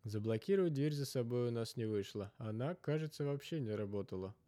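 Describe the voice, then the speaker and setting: A man's voice: medium pitch, expressive, brisk, warm tone, no echo. A man reading a first-person literary story as a scripted narrator.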